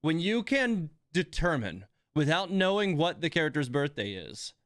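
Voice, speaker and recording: A man's voice: on the high side, sing-song, normal speed, fairly bright tone, dry recording. Casual male game streamer, dry close sound. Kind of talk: casual live stream commentary.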